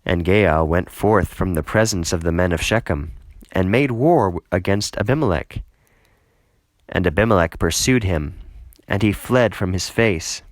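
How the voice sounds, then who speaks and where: A man narrating a scripted Bible reading in a measured, solemn way. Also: low-pitched, no echo.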